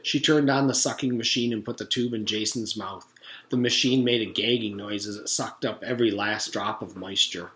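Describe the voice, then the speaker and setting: A man's voice: lowish voice, steady tone, normal speed, fairly bright tone. A man in his forties, a teacher, reading a children's story aloud with casual recaps. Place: room at home. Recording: roomy echo, background noise.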